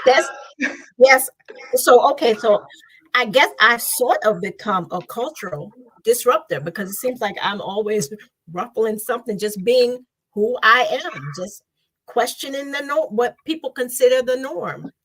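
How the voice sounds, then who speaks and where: A woman's voice: a little high, lively intonation, a little slow, a bit bright, poor audio. A woman hosting an interview, affirming and encouraging her guest. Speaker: host. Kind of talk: conversational interview.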